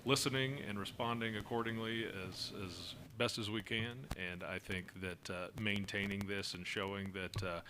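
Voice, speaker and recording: A man from the fire service answering council questions in a steady, explanatory way, with fillers and restarts, speaking into a desk microphone in a council chamber. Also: lowish voice, moderate pace, few pauses. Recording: noisy meeting room recording.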